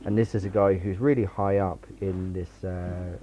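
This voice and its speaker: A man's voice: low voice, fairly even intonation, moderate pace, warm tone. A man chatting casually as an author and panelist in a livestream book discussion, on a lo-fi microphone. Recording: dull lo-fi mic with hiss.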